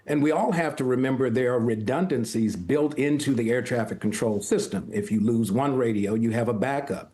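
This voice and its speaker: A man speaking as an expert guest in a news interview, measured and deliberate, posing rhetorical questions. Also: medium pitch, a little mellow. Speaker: news guest, former air traffic controller. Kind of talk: live news interview analysis.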